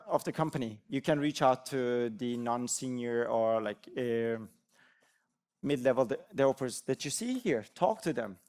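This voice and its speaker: Earnest Turkish-born man giving a talk. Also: medium pitch. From Turkey. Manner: earnest, encouraging, with restarts and "like" fillers. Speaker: conference speaker, developer advocate. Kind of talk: conference talk.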